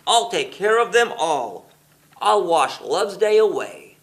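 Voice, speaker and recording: A man's voice: high-pitched, sing-song, takes it slowly, fairly bright tone, exaggerated voice. A man voicing a sneaky, villainous beaked creature mayor in a children's storybook, in an exclaiming, gloating manner. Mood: gleeful scheming.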